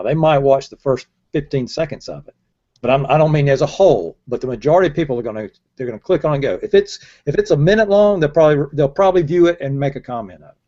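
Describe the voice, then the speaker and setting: Older male panelist, slightly high voice, emphatic and repetitive, dull lo-fi call audio. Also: dark tone. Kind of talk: casual panel discussion. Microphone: earbuds headset on a video call.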